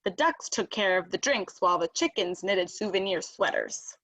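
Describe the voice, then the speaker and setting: A woman reading a children's storybook aloud as narrator, expressive and emphatic. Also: medium pitch, normal speed. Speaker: storybook reader.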